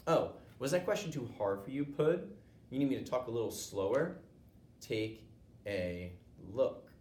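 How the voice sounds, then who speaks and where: A man's voice: mid-range voice, animated, measured pace, darkish tone, voice pushed up, natural voice. Man putting on a smug, taunting schoolyard bully boy. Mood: mocking. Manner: mocking, falsely friendly. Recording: roomy echo, noticeable background noise.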